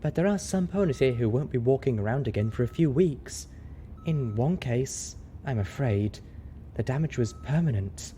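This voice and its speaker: A man voicing a haggard female pony medic, raspy, dry and snarky, in a story reading. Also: medium pitch, animated, moderate pace, warm tone, slight background noise, pitched above the performer's own voice, close to an everyday voice. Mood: somber. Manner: dry, somber.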